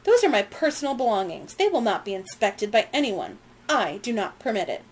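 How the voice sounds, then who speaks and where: A woman's voice: fairly high pitch, very animated, a little fast, husky. A woman reading aloud and voicing a haughty wealthy lady, imperious and indignant.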